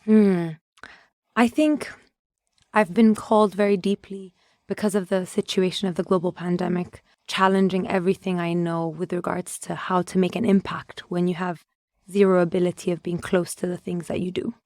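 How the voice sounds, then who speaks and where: Flat-voiced woman from Ethiopia on a podcast. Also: lowish voice, moderate pace, no room sound, studio quality. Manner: thoughtful, with frequent restarts while searching for words. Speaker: conservation adviser, podcast guest. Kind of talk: reflective interview answers.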